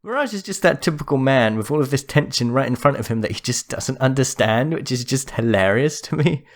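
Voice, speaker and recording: A man's voice: medium pitch, expressive, brisk. A man hosting a gaming video, explaining lore in a conversational, speculative commentary style.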